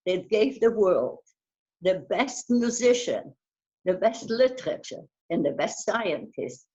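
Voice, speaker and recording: An older woman from Bulgaria whose first language is German, recalling her past in an oral history interview, halting and often restarting words. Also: on the low side, a little mellow, highs cut off. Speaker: interviewee, Holocaust survivor.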